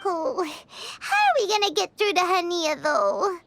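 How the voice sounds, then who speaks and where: A woman voicing a small, chatty, excitable floating fairy companion in a video game, with a squeaky childlike voice. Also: measured pace, vocal fry, heavily acted. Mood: worried. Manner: excitable, speaks of self in third person. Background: game music.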